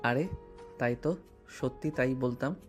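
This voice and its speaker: A man's voice: medium pitch, a little slow, lightly acted. A male voice actor playing a playful, devoted young husband in an audio romance drama. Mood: sulky. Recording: music bed underneath.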